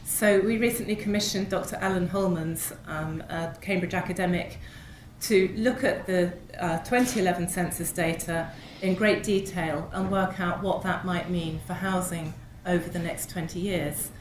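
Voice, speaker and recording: A woman presenting at a panel debate, speaking in a measured, informative way with frequent ums while hoarse from a cold. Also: lowish voice, fairly even intonation. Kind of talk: conference presentation. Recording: echoey hall with noise.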